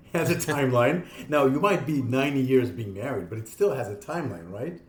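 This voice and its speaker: A man in his fifties from Israel, a podcast guest explaining his ideas in an animated, conversational way. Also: medium pitch, a crowd in the background. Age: 50s. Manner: animated, explaining with quick asides and laughs. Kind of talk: casual interview talk. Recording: echoey room.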